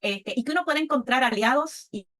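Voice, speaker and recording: A woman's voice: high-pitched, a little fast, fairly bright tone. An older woman with a Puerto Rican accent gives a reflective personal testimony on a panel, often checking in with short tag questions. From Puerto Rico. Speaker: panelist, women's leadership speaker.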